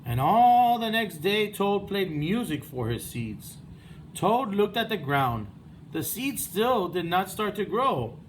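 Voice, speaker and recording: A man's voice: sits high, sing-song, takes it slowly, slight background noise, low-quality recording. A man speaking as a first-grade teacher, narrating a read-aloud for young children.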